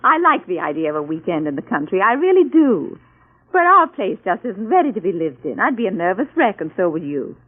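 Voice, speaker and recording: An actress playing a sensible, gently teasing middle-aged American wife and mother in an old-time radio drama. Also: medium pitch, sing-song, a little fast, phone-quality sound. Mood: doubtful, reasoning. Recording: thin band-limited old radio recording.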